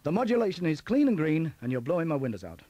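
Lively higher-pitched man narrating. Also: fast, dry recording. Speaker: narrator of an instructional tape. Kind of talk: scripted narration.

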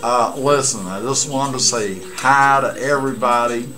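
A man in his late fifties chatting casually and haltingly to a webcam at home, with rambling asides. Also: medium pitch, a crowd in the background. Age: late 50s. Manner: halting, pausing delivery with asides. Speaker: amateur vlogger and CB radio hobbyist. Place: small cluttered room at home. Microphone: webcam setup at desk distance.

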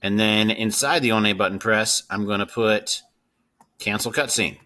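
A man's voice: lowish voice, moderate pace, pushed and bright. A middle-aged man hosting a casual screen-share coding tutorial. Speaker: tutorial host.

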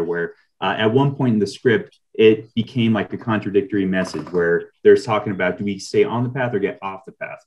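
Man, middle-aged, low-pitched, thoughtful interview guest, explanatory, meandering sentences, video call. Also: darkish tone. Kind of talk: reflective interview talk.

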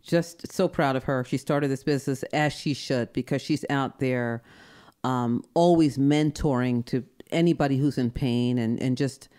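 A woman's voice: rumbling low, normal speed, mellow. Effusive woman podcast host. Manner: effusive, chatty and upbeat. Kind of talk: casual chat. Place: studio.

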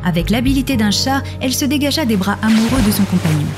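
A woman's voice: on the high side, animated, fast. A woman narrator reading scripted fiction in an audio drama. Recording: music under the voice.

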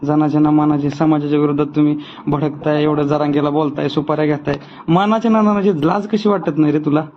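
Higher-pitched man on a muffled call. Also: fairly even intonation, brisk. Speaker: phone caller. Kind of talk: informal phone conversation. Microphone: telephone. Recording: noisy, muffled recorded phone call.

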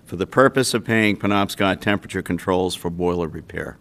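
An older man serving as a town councilor, explaining a decision in a measured way at a council meeting, speaking into a desk microphone. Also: sits low, a bit bright. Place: council chamber.

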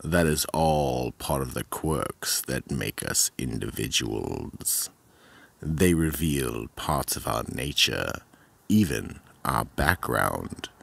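Man as a very deep, gravelly mystery narrator. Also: a bit bright, no room sound, heavily acted. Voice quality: gravelly, husky. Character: ominous male mystery-show narrator. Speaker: narrator and host. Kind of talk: radio mystery theatre narration.

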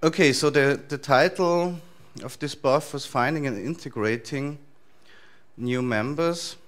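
A man in his thirties with an Austrian accent, giving a relaxed, loosely structured talk to a conference audience in a large lecture hall. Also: medium pitch, a little slow. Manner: relaxed, thinking aloud with frequent fillers and restarts. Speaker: conference speaker.

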